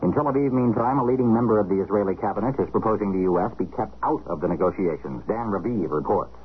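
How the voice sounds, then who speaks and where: Male radio news anchor, fast and low-pitched, narrow old broadcast sound. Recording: phone-like old radio broadcast, faint noise.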